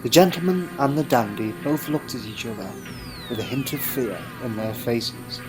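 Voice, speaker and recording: Male narrator, mid-pitched, dramatic storybook read for sci-fi audio, music underneath. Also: normal speed.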